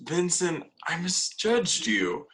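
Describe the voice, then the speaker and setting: A man playing a polite, skeptical young roommate with pointed, measured sarcasm in a comedy table read. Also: fairly high pitch, sing-song, fairly bright tone, exaggerated voice. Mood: politely sarcastic. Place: at home, remote table read.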